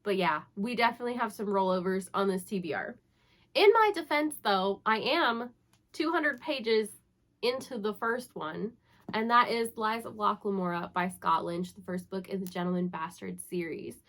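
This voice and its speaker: A woman's voice: medium pitch, normal speed, a bit bright. A young woman with a congested voice from the flu, chatting casually and upbeat to camera about her reading plans. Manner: chatty, upbeat, self-teasing. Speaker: book vlogger.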